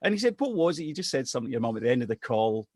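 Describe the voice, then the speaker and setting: A man's voice: medium pitch, big pitch swings, racing, a little mellow, dry recording, studio quality. A middle-aged man from Scotland, telling a personal anecdote in a casual, joking way with restarts. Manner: chatty, self-deprecating humour, restarts. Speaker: storyteller.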